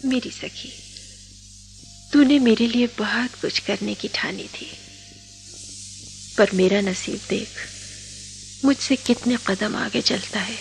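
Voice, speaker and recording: A woman's voice: a little high, slow, vocal fry, pauses often, soft background music, low-quality recording. A woman acting in a radio drama as a shy, lovestruck young college girl, with a hesitant, emotional manner. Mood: dreamy longing.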